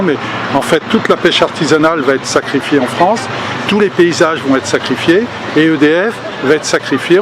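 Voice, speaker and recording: An older man delivers an unscripted activist speech outdoors, voice pitched a little high for a man. He pauses often, restarting sentences and filling gaps with hesitations, but stays earnest throughout. Passing traffic and street noise sit clearly behind him. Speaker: activist speaking at a public meeting. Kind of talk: spontaneous political speech.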